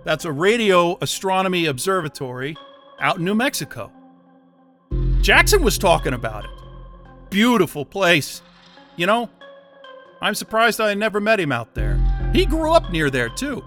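An actor in an audio drama playing a drugged male captive under brainwashing, with a halting, dazed, suggestible delivery. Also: high-pitched, big pitch swings, fairly bright tone, faint music behind. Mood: rambling, sheepish.

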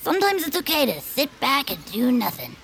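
Female as laid-back adventurer-inventor: heavy gravel in the voice, lively intonation, audio comedy. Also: high-pitched, fairly quick, a little hiss or hum, heavily acted. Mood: relaxed. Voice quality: very creaky, gravelly. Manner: plainspoken, easygoing. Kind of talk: audio comedy drama acting.

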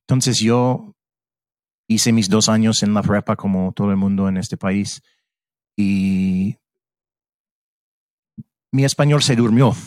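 A man's voice: fairly low pitch, slow pace, long pauses, a little mellow, no room sound. A middle-aged man from Mississippi who speaks with an American English accent. He talks as a podcast guest, reflective and searching for words in his second language. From Mississippi, USA. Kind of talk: casual interview chat.

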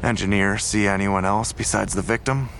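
A man's voice: lowish voice, steady tone, moderate pace, gravelly, fairly bright tone, slight background noise. An actor playing a seasoned, world-weary male homicide detective in an audio drama, asking terse, probing questions. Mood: grave, businesslike.

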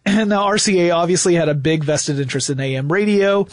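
A man's voice: fairly high pitch, fast talker, dry recording, clean studio sound. A man co-hosting a show, explaining history conversationally like a storyteller, with emphatic repetition. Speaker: podcast co-host.

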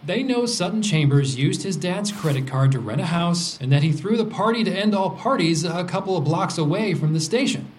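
A man's voice: high-pitched, expressive, a little fast, hardly any pauses, slight background noise, rough recording. An actor playing a brash, sarcastic, vindictive man in an audio drama support group. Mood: smug. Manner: sarcastic, provocative.

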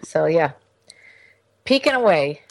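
A woman's voice: low-pitched, big pitch swings, takes it slowly, creaky. A middle-aged woman co-hosting a casual podcast, chatty and teasing, rambling with restarts. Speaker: podcast co-host, sex expert. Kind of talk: casual chat.

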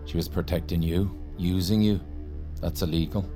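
A man acting a smug, menacing interrogator, measured and condescending, in an audio drama. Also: deep voice, fairly even intonation, warm tone, soft background music, natural voice. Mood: calm, condescending. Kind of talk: naturalistic audio fiction acting.

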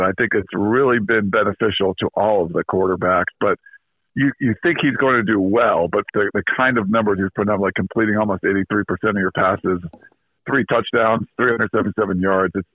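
Give me a sports reporter on the phone, a man with a husky low voice, talking fast. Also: dry recording. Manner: quick, casual, repeats words and laughs lightly. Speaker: interview guest, sports reporter. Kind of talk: phone interview. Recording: tinny phone line.

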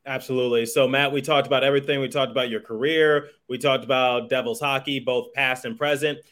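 A man's voice: mid-range voice, steady tone, fairly quick, a bit bright. A man hosting a sports podcast, talking conversationally about hockey with his guest. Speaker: podcast host, sports journalist.

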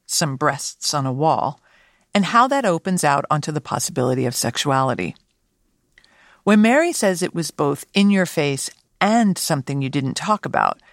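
Low-voiced woman host with a New York accent. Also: dry recording, studio quality. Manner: reflective, essayistic. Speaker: podcast host. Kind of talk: scripted narration.

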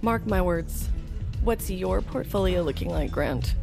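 An actress playing a savvy, blunt and confident stripper in an audio drama. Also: female voice, lowish voice, expressive, measured pace, creaky. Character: blunt savvy female stripper talking investments. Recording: music behind the voice.

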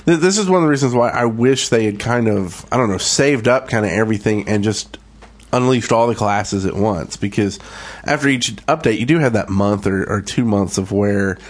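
A man's voice: fairly low pitch, normal speed. A man, chatting casually as co-host of a gaming podcast.

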